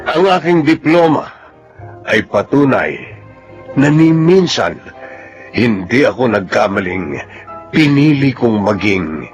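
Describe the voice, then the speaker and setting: Solemn, slow-speaking grateful Filipino father. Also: fairly low pitch, full character voice. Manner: solemn, deliberate, heartfelt. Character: grateful, devoted elderly father, newly graduated. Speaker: radio drama actor. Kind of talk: Filipino radio drama acting. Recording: music behind.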